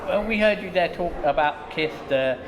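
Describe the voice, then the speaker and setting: Brisk male interviewer over crowd. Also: high voice, muffled recording. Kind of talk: interview questions. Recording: crowd noise behind.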